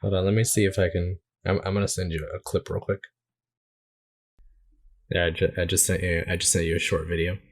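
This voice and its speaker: A man in casual conversation as a co-host, speaking in a measured, tentative and agreeable way. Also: sits low, level tone.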